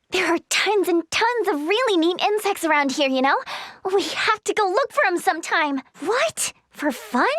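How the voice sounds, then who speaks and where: Very high-pitched perky teenage girl. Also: dry recording, clean studio sound, heavily acted. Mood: scolding. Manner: perky, animated, teasing, youthful. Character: cheerful, excitable, inquisitive teenage girl, budding healer and scientist. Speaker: video game voice actress. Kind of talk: video game character dialogue.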